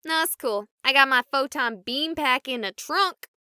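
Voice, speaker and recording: A woman's voice: sits high, moderate pace, sharp tone, no room sound, clean studio sound. An actress playing a sarcastic, chatty young woman streamer who talks to her viewers. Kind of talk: voiced game dialogue.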